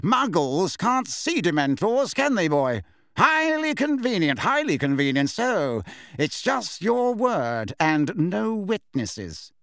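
A man's voice: sits high, big pitch swings, takes it slowly, gravelly, a bit bright, no echo, studio quality, pitched above the performer's own voice, heavily acted. A man voicing a pompous, impatient older government minister in an audiobook, lofty and supercilious. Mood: scornful. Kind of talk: audiobook character voice.

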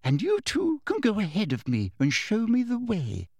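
Man playing a domineering woman official, high-pitched. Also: huge pitch swings, moderate pace, a little mellow, slightly rough, dry recording, clean studio sound, heavily acted. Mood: harsh, imperious. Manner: imperious, harsh, eager interrogation. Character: domineering, greedy older woman official, falsely sweet and sharp.

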